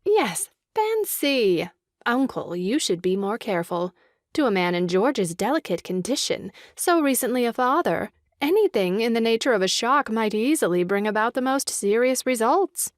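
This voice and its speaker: A woman's voice: on the high side, very animated, normal speed, dry recording, studio quality. A woman playing a sweet-talking, conspiring young lady in an audio farce, arch and coaxing in manner. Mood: arch scolding. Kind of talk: stage farce audio drama acting.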